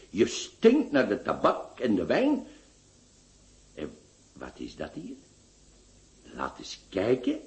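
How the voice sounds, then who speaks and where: A pompous theatrical doctor. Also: male voice, medium pitch, creaky, full character voice. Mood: scolding. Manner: grandiloquent, lecturing, scolding. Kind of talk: radio drama acting.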